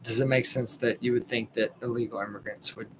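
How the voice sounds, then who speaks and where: A Texan man in his late twenties talks through a clip in loose, rambling commentary, blunt and quick to swear. He sounds tired from the late hour, and his speaking pitch sits in an ordinary middle range. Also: fairly even intonation, a little hiss or hum, poor audio. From Texas, USA. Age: late 20s. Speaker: podcast host reacting to a clip. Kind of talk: casual commentary.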